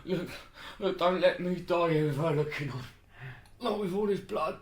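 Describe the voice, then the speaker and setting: An actor playing a crude, cruel, cowardly jailer in a horror audio drama, coarse and agitated. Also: male voice, sits high, moderate pace, lightly acted. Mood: dying, pleading. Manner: coarse, agitated, shouting and groaning. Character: crude, cruel, bullying male jailer, cowardly when hurt. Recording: roomy echo, faint noise.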